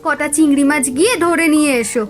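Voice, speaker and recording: A woman's voice: high voice, fast talker, music in the background, close to an everyday voice. A woman playing a poor village wife and mother in a cartoon folk tale, worried, coaxing and lamenting. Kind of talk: cartoon fairy-tale dialogue acting.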